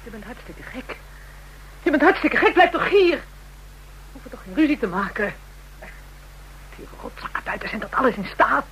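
A woman's voice: on the high side, big pitch swings, normal speed, pauses often, fairly bright tone, husky, full character voice, low-quality recording. An actress playing a coarse, brazen, spiteful call girl in a radio drama, talking in crude, taunting slang. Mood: anxious, pleading.

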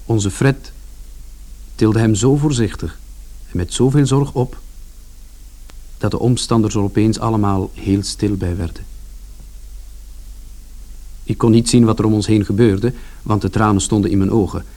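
A man's voice: lowish voice, fairly even intonation, unhurried, long pauses, mellow, close to an everyday voice. An actor playing a plain-spoken working-class son who tells his story in a colloquial, confiding first-person radio drama narration. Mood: subdued, sad.

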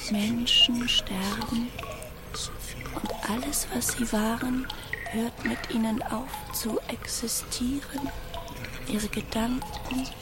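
Casting: a dying female AI, slow, halting and whisper-prone, childlike calm, radio drama under loud music. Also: fairly high pitch. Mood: weary, fading. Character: dying female artificial intelligence, weary, wondering, childlike calm.

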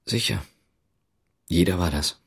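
A man's voice: low voice, measured pace, gravelly, husky, exaggerated voice. An actor playing a guarded policeman with a secret, speaking in a terse, understated way in a radio drama. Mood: subdued. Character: guarded East German policeman hiding a secret.

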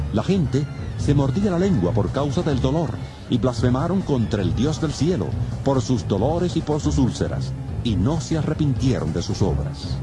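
A man narrating scripture in a solemn, dramatic audiobook style. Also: mid-range voice. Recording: loud music under the voice.

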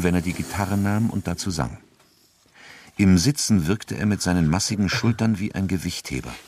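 A male narrator with a deep, low voice reads scripted literary prose. His delivery is measured and sober. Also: slight background noise.

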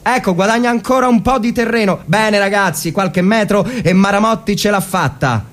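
A man's voice: high-pitched, moderate pace. A man giving a dramatic, theatrical reading of a war story, telling it with suspense in the present tense. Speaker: actor reading a short story.

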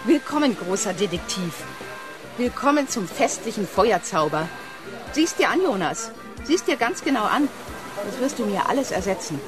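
Actress as a sarcastic woman with animated delivery. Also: medium pitch, normal speed, over music, lightly acted. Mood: bitter, angry. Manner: biting, sarcastic, emphatic. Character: sharp-tongued, sarcastic woman gallery owner, angry and unintimidated. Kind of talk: German sci-fi radio drama acting.

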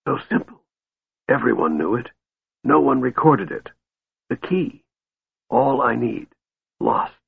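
A man voicing a dying young male mage in an audiobook, bitter and desperate, with a strained, gasping voice and halting, snarling delivery. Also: on the low side, close to an everyday voice. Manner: halting fragments, snarling demands. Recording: muffled phone-like audio with room echo.

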